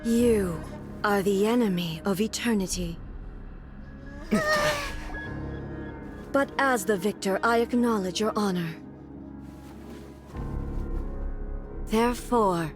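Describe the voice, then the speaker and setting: A woman's voice: mid-range voice, moderate pace, over music, close to an everyday voice. An actress playing a regal, coldly composed female ruler in a video game, speaking in a formal, stately, condescending way. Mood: cold solemn. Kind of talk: dramatic video game voice acting.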